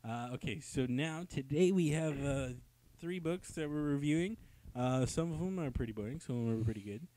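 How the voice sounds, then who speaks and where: Joking man hosting over clear background noise. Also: on the high side, brisk, mellow. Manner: irreverent, joking, crude slang. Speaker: host of a comic book review show. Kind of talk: casual jokey chat.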